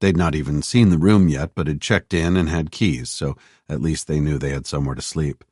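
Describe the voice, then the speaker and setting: A male audiobook narrator with a very deep voice, reading in a measured, even way. The recording is dry and close, with no room sound. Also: slightly rough, no room sound. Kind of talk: scripted narration.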